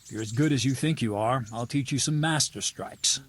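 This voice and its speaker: A man's voice: medium pitch, normal speed, natural voice. A man playing a stern, demanding medieval swordmaster in a video game, giving blunt, commanding, instructive lines to a pupil. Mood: skeptical, challenging. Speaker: combat trainer.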